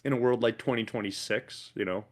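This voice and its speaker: A man's voice: medium pitch, fairly even intonation, fairly quick. Laid-back man co-hosting a podcast. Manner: laughs often, restarts, casual swearing. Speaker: podcast co-host. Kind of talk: casual chat.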